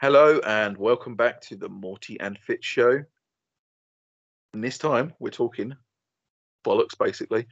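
A man's voice: mid-range voice, unhurried, long pauses, no echo. A young man co-hosting a podcast, telling rambling, sweary stories and laughing often. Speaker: podcast co-host. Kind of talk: casual banter. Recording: dull lo-fi dry sound.

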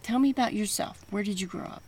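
A woman hosting a podcast and interviewing a guest in a relaxed, conversational way. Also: medium pitch, fast, slightly rough. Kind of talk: casual interview.

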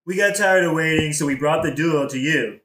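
A man's voice: fairly high pitch, normal speed, echoey room, background noise, lightly acted. A man playing a smug, boastful, scheming king in a modern comic audioplay, with a glib, bragging manner. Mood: brisk, showy.

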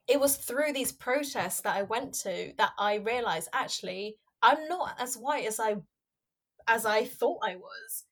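Young woman from Hong Kong, Cantonese native, thoughtful guest with frequent fillers and restarts, medium pitch. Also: a bit bright. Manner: reflective, many fillers and restarts. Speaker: podcast guest, researcher. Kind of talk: candid conversational interview.

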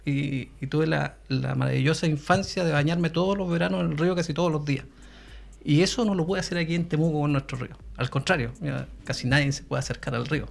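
A man's voice: a little high, steady tone, fast talker, creaky. A man with a Chilean accent, a guest on a talk show, telling his story in an earnest way. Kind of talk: radio talk show conversation.

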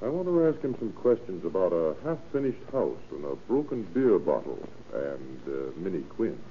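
An actor playing a calm, insistent police detective in an old radio crime drama, coolly polite and probing. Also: male voice, low-pitched, moderate pace. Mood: calm, pointed. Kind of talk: 1930s-40s radio crime drama acting. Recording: old tinny radio recording with noise.

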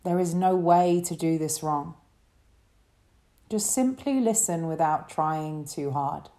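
A woman leading a guided relaxation meditation as a yoga teacher, speaking in a soothing, gentle way. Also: low-pitched. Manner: soothing, gentle, unhurried instruction.

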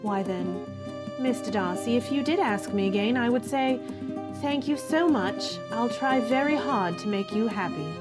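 A woman playing an earnest, tender young gentlewoman in love, in a formal 1940s radio romance style. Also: a little high, animated, normal speed, close to an everyday voice. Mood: hesitant, earnest. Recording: violin music under the voice.

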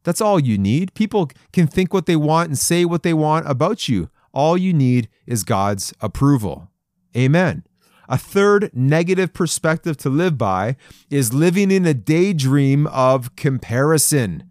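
A man's voice: a little high, lively intonation, measured pace, darkish tone, dry recording, studio quality. A man in his forties giving a folksy, encouraging sermon to men, with playful asides and chuckles. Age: 40s. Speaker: men's devotional speaker. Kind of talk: conversational podcast sermon.

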